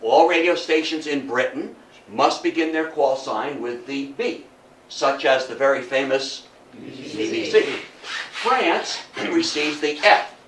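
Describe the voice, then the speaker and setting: An older man giving a public history lecture in a theatrical, emphatic storytelling manner, with dramatic pauses and rhetorical questions. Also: on the high side, expressive, fairly bright tone. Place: indoor talk room before an audience. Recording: roomy, distant mic, faint noise.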